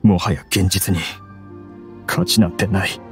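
A man's voice: low-pitched, steady tone, unhurried, gravelly. A man voicing a proud, grieving scholar in an anime game, with bitter, theatrical delivery. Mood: bitter despair. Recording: music under the voice.

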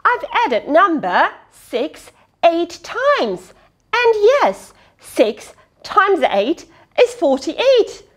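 A middle-aged woman reading a picture book aloud to children, expressive and teacherly, with questioning asides. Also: very high-pitched, drawn-out words. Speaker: children's storyteller, host. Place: small indoor fabric tent with cushions.

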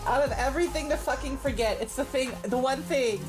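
A young woman with a high voice chats casually as she plays a game. Her banter is blunt and full of swearing, and music plays behind her. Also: a little fast. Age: young adult. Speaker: gamer. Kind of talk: casual gaming chat.

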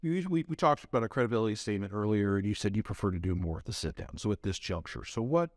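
A man's voice: lowish voice, lively intonation, fast, no echo, studio quality. A middle-aged man hosting a business interview, giving brief affirmations and asking probing follow-up questions. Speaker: interview host. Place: TV studio set.

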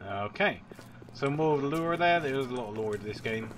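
A man hosts a casual gaming video, sounding congested from a cold. His medium-pitched commentary rambles and hesitates, with the odd swear word. Game ambience sits noisily behind him. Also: animated, normal speed. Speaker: gaming channel host. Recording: noisy track with game ambience.

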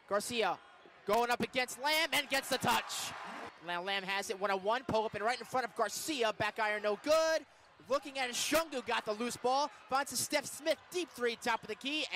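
A man calling basketball play-by-play on the radio, with excitable, punchy calls. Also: sits high. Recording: arena crowd and court noise behind. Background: basketball game in an arena.

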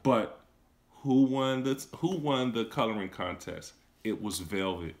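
A man's voice: unhurried, slight background noise. A man in his thirties, a kindergarten teacher, reading a picture book aloud to children in a small room, close to a phone camera. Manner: expressive storytelling with lively swings in pitch and volume; warm, encouraging talk to young children. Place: small indoor room, seated on a couch.

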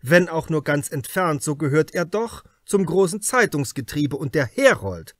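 A man voicing a calm, brooding male police detective who speaks firmly and deliberately in an audiobook. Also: a little high, no echo. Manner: firm, deliberate. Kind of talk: audiobook character dialogue.

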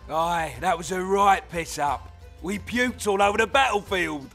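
An actor playing a boastful, hard-drinking male soldier with a rough, colloquial manner in a video game. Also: high voice, measured pace, a bit bright, faint music behind, heavily acted. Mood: matter-of-fact.

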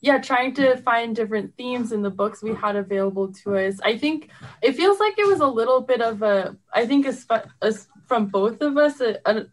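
A woman's voice: on the high side, moderate pace. A woman guest in a casual interview, reflecting in hesitant, carefully hedged phrases. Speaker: show co-creator, guest.

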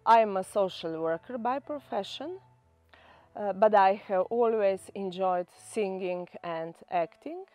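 A woman in her forties from Slovenia with a Slovenian accent, introducing herself slowly and carefully. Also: medium pitch, darkish tone, no echo. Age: 40s. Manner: very slow, careful, with pauses. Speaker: social worker.

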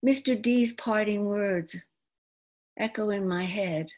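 An older woman of around seventy performing a dramatic, theatrical monologue in an expressive, deliberate manner. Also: medium pitch. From New York, United States. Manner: expressive, deliberate, acted-out delivery. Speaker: stage actress performing an autobiographical monologue. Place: indoor room at home, before a folding screen. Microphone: computer video call microphone.

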